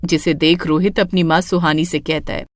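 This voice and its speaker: A woman narrating a scripted moral story in an expressive storytelling style. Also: deep voice, moderate pace, dry recording.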